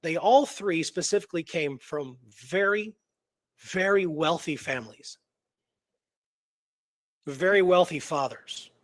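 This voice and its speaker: A man's voice: on the high side, measured pace, pauses often, a bit bright, no room sound, studio quality. A man co-hosting a history show in casual, conversational discussion, often dropping in "you know".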